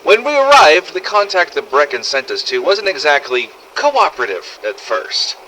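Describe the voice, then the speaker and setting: A man's voice: fairly high pitch, big pitch swings, normal speed, pushed and bright, full character voice, rough recording. A man playing a brash, foul-mouthed survivor of a zombie apocalypse, telling a scripted audio log in a blunt, sardonic, conversational way. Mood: matter-of-fact recounting. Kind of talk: scripted first-person audio log narration.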